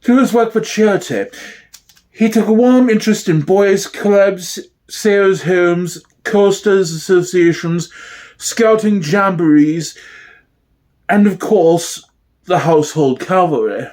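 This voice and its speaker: A man's voice: high voice, slow, a little mellow. Man as a pompous, genteel female impersonator. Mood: admiring. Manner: refined, pompous, precise. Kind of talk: comedy sketch dramatic reading.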